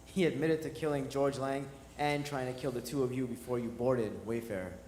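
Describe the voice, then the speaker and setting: A man's voice: medium pitch, steady tone, brisk, darkish tone, lightly acted. Man playing a mocking henchman, echoey hall. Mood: sardonic. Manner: gruffly commanding, taunting. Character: callous, mocking male henchman with a gun. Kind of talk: staged radio drama performance. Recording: echoey hall, faint noise.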